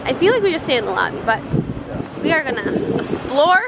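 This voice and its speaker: Higher-voiced American woman, very noisy outdoors. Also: very animated, a little fast, vocal fry. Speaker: travel vlogger. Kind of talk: casual vlog chat. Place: walking around a resort, mostly outdoors. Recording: very noisy outdoor camera sound.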